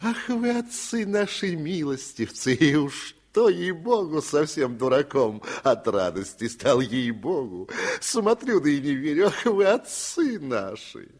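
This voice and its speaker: A man voicing a gray-haired village steward, slightly drunk, gushing and flattering his master in a sing-song way. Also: a little high, measured pace, pitched above the performer's own voice.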